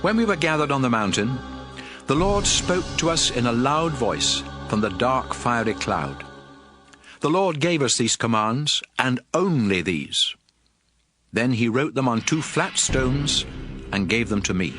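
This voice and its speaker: A man's voice: medium pitch, very animated, a little slow, over music. An actor playing a solemn, commanding male prophet who addresses his people with emphatic oratory in a dramatized audio Bible.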